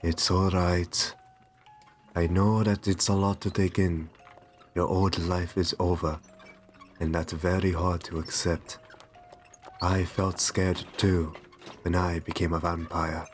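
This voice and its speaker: Man playing a low, slow, gentle vampire master. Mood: tender, solemn. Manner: slow, soft-spoken, reassuring, genteel endearments. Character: gentle, courteous male vampire master, soothing and possessive. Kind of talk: ASMR roleplay acting. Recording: music under the voice. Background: background music.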